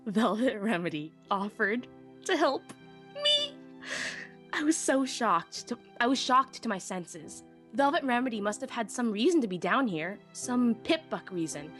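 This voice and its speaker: A woman playing a shy, self-deprecating young pony technician who narrates her own story in an expressive, wistful, wry way. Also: on the high side, unhurried, faint music behind. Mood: flustered, eager. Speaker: first-person narrator. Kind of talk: dramatic live audiobook reading.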